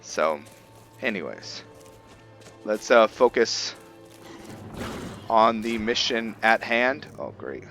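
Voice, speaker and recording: A man giving casual, reflective commentary over a roleplay video game, thinking aloud in a halting way. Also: fairly low pitch, lively intonation, fairly bright tone, soft background music. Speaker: gaming host.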